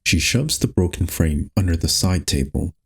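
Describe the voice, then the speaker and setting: A man's voice: sits low, animated, normal speed, husky. A man narrating a visual novel game aloud in a scripted storytelling style. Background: faint music.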